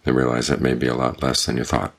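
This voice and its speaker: A man, a Buddhist monk, giving a measured, deliberate dharma talk. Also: a bass voice, monotone, fairly bright tone.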